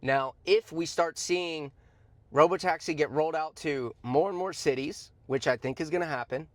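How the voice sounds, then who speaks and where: A man's voice: on the high side, moderate pace, dry recording. A man giving informal, opinionated commentary in a blunt, emphatic, conversational way, with slangy asides and points repeated for stress. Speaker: host of a stock commentary show.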